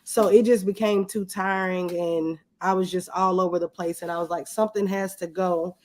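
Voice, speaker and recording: A woman's voice: lowish voice, fairly even intonation, normal speed, darkish tone. A woman giving casual, plain-spoken, conversational answers as a guest in an interview.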